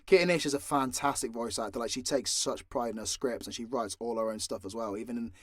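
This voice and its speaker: A man's voice: medium pitch, fast talker, a bit bright, no echo, studio quality. A man chatting casually and candidly, swearing freely, as he answers questions off the cuff as a creator. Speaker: voice actor and audio creator answering fan questions. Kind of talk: casual unscripted Q&A talk.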